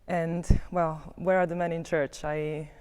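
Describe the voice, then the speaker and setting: A woman's voice: deep voice, level tone, measured pace, a little mellow, clear, resonant tone, big-room sound. A woman from Italy in her thirties, light and easygoing, introducing herself on a panel. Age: 30s.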